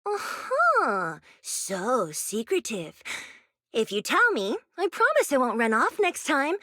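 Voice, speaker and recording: A woman voicing a playful, impulsive young female pop star in a video game, expressive and teasing with girlish reactions. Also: high voice, slow, dry recording, studio quality, full character voice. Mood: playful coaxing. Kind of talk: animated video game voice acting.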